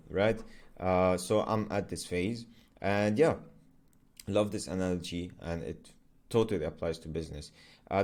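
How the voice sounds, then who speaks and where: A young man with a low, deep voice, speaking English as an Arabic native speaker. He talks in a loose, conversational vlog manner, full of fillers and quick self-corrections. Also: darkish tone. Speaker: agency founder vlogger. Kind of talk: casual explainer vlog.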